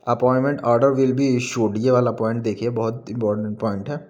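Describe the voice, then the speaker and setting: A man with an Indian accent giving an informal explainer, reading out an official notice haltingly and commenting on it as he switches between Hindi and English. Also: medium pitch, little pitch movement, darkish tone, lo-fi sound. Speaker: exam information presenter.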